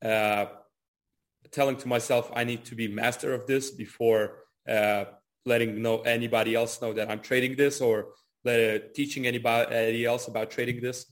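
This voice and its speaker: Man, early twenties, medium pitch, casual interviewee, repetitive phrasing, "kinda" and "you know". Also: flat delivery, normal speed. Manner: repeats words, frequent "kinda" and "you know". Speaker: trader and trading educator being interviewed.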